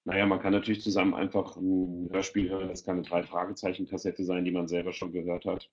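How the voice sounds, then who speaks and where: A middle-aged man with a deep, low-set voice talks casually and spontaneously as an online panellist. His headset audio is a bit muffled and lo-fi. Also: level tone, fast. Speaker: expert panellist. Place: indoor room, video call. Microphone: headset on video call. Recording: dull, lo-fi video-call audio.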